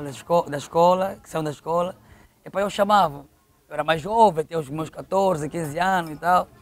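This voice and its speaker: A middle-aged man with an Angolan accent telling stories conversationally as an interview guest, repeating phrases for emphasis. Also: fairly high pitch. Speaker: interview guest, local football official.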